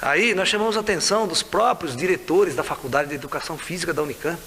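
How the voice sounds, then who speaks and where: A man's voice: fairly high pitch, fast. A man in his sixties with a Brazilian Portuguese accent, a tai chi teacher telling stories in a casual way, laughing often and checking in with his listeners. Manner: lively, laughs often, keeps asking "né?" and "sabe?". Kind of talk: casual storytelling to camera. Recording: reverberant room with faint hiss.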